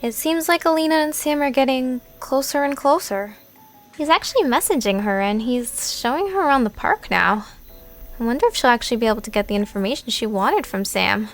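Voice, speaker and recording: A curious narrator, played by a woman, musing through scripted audio drama narration. Her voice is on the high side, with faint music underneath. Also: animated, brisk. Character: curious female narrator following the story.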